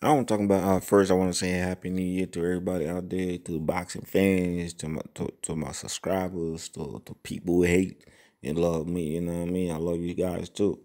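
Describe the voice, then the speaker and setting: A man with a fairly low voice delivers casual boxing commentary to his subscribers. He swears freely and keeps asking whether you know what he means. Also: level tone, fairly quick, mellow. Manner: profane, repetitive, keeps saying "you know what I mean". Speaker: boxing channel host.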